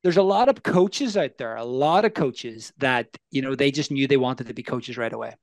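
A man in his thirties with a Northern Irish accent, telling his story as a coaching guest in an earnest, energetic way. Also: mid-range voice, moderate pace, dry recording. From Northern Ireland. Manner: energetic, earnest, asks the listener questions. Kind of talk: casual interview storytelling.

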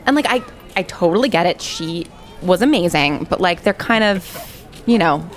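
A woman's voice: fairly low pitch, lively intonation, moderate pace. A woman talking casually and colloquially as a player in a tabletop role-playing game. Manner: colloquial, frequent "like". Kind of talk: casual chat, in-character role-play.

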